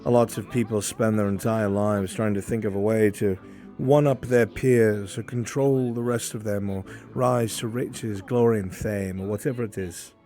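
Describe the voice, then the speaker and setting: Solemn imperial figure for fantasy roleplay, played by a man: low, mellow, measured and brooding, music underneath. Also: fairly even intonation, lightly acted. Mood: grave, brooding. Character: solemn, philosophical imperial man questioning a hobbit villager. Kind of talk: improvised fantasy roleplay acting. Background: background music.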